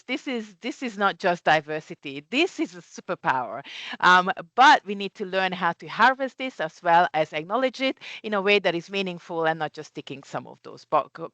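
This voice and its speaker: A woman's voice: on the low side, fairly bright tone, no room sound. Hungarian-accented woman presenting in a webinar. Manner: earnest, with restarts and fillers. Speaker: guest speaker, CEO. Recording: muffled, lo-fi webinar audio.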